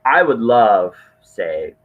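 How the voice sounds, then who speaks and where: A man's voice: lowish voice, very animated, very slow, narrow, tinny audio. A man talking casually as a podcast host, earnest and rambling, often restarting his phrases.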